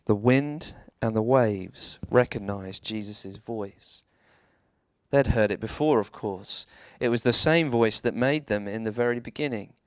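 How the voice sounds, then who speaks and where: A man, a reverend, telling a children's bedtime story in a measured, dramatic way with deliberate pauses. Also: lowish voice, clear, resonant tone, dry recording, studio quality.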